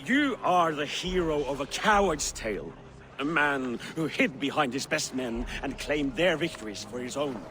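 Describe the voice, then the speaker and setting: An actor playing a proud, bitter banished Viking warrior in a video game, grave and measured. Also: male voice, on the high side, lively intonation, noisy background, lightly acted. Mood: defiant. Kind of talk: dramatic video game acting.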